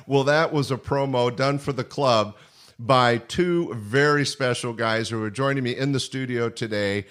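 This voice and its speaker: A man's voice: medium pitch, unhurried, fairly bright tone, smooth. An older man hosting a podcast, chatting casually as he interviews guests in a small studio.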